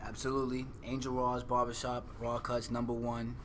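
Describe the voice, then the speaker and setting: A man's voice: medium pitch, completely flat delivery, moderate pace, fairly bright tone, slight background noise. A man, a barber and competition judge, joking and ribbing others in casual banter.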